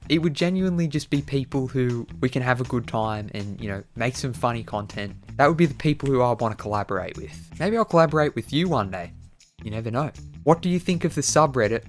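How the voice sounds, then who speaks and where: Casual man chatting over background music. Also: mid-range voice. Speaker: YouTube creator answering viewer questions. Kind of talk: casual chat to viewers.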